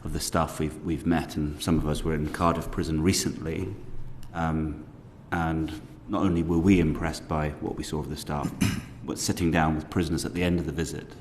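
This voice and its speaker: Deep-voiced man questioning in a hall. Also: little pitch movement, a little mellow. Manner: measured, polite, hedging questions. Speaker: committee member questioning witnesses. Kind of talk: formal committee questioning. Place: parliamentary committee room. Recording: reverberant hall with faint noise.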